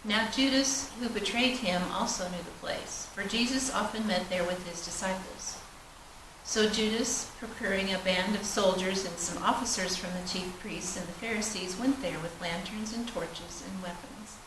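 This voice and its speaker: A woman's voice: medium pitch, normal speed. A woman reading scripture aloud in a solemn style at a church service. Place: large indoor church space. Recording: roomy, noisy, distant church recording.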